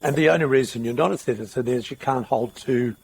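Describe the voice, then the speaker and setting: A man's voice: medium pitch, fast, vocal fry, breathy edge. A middle-aged man, hosting a podcast interview in a rambling, thinking-aloud way. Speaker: podcast host. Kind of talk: casual interview.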